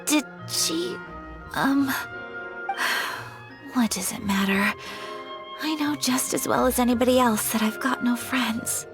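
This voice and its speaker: A woman voicing a gentle, refined young noblewoman who speaks formally and politely in a game cutscene. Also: a little high, slow pace, long pauses. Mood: wistful. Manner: formal, polite and soft-spoken. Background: game music.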